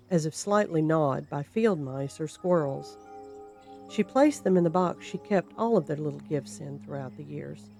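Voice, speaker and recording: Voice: mid-range voice, a little mellow. Horror story narrator over music. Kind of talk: scripted dramatic storytelling narration.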